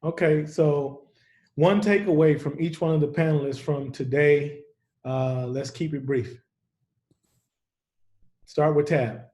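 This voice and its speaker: A man moderating a webinar briskly, introducing each panelist over a video call. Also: on the high side, steady tone, a little mellow, echoey room. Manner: brisk, brief.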